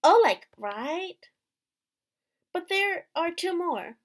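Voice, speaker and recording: A high-pitched young princess voiced by a woman in a visual novel. She is eager and scatterbrained, with a girlish, halting way of talking full of filler "like". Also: big pitch swings, heavily acted. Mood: puzzled.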